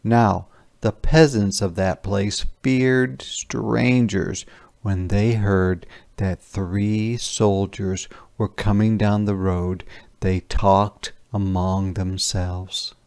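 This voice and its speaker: A man narrating a children's story in a deliberate, clearly enunciated read-aloud. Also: on the low side, steady tone, darkish tone, dry recording. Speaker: storyteller reading a picture book aloud.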